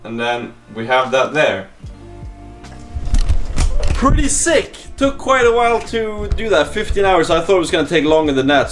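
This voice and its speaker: A man talking informally in a casual video diary, presenting his software project as a developer. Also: high-pitched. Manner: informal, conversational, mild swearing. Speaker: startup vlogger and app developer.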